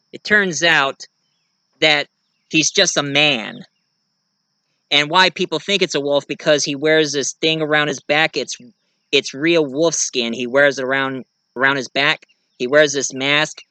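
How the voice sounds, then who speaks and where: A man giving a casual show-and-tell of his own fiction, rambling and halting mid-sentence to correct himself. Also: fairly high pitch, bright, forceful tone, clear, resonant tone, no room sound. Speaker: amateur writer and online video creator.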